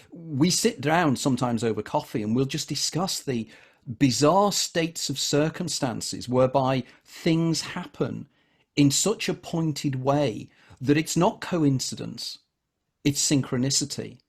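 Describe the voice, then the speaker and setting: A man's voice: medium pitch, unhurried, husky. A man hosting an interview, telling a long personal anecdote in a discursive, conversational way. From England. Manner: discursive, recounts anecdotes at length. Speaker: interviewer, author. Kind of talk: casual interview conversation, storytelling.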